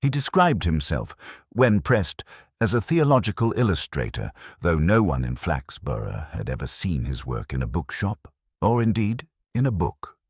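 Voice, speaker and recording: A man with a very low, slightly husky voice narrates a mystery story. His delivery is measured and dryly wry, with lively rises and falls in pitch. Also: no echo, clean studio sound. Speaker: audiobook narrator.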